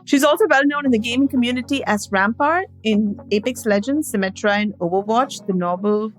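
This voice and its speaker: A woman's voice: on the high side, fairly quick, soft background music, low-quality recording. Giggly, enthusiastic woman co-host. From New York City. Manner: giggly, enthusiastic, stumbles over names. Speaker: podcast co-host. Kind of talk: casual chat, reading guest introduction.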